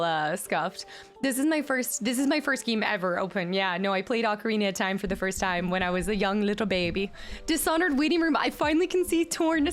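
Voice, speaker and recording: A young adult woman streaming, chatting casually with her viewers and reading their names and gifts aloud. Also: a little high. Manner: animated, chatty, reads chat names aloud. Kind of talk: casual chat with live chat.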